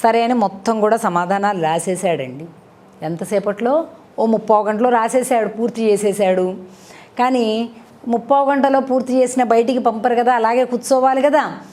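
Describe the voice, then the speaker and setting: A woman tells a moral story as a devotional storyteller, in an expressive, conversational way with rhetorical questions. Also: fairly high pitch, moderate pace. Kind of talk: animated moral storytelling to an audience.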